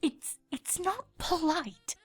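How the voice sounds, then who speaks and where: A woman voicing a regal elder pony princess and mentor from a storybook, speaking in a measured, formal, diplomatic way. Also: very high-pitched, animated, soft background music, pitched above the performer's own voice, heavily acted. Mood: disapproving.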